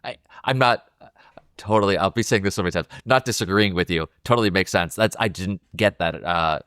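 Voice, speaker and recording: A man's voice: sits low, brisk, fairly bright tone, smooth, no room sound, studio quality. A man co-hosting a casual film discussion, describing things in a hesitant way as he thinks aloud. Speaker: podcast co-host.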